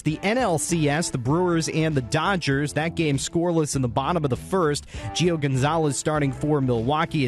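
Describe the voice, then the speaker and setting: A man hosting a radio postgame show, giving a sports update over music. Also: a little high.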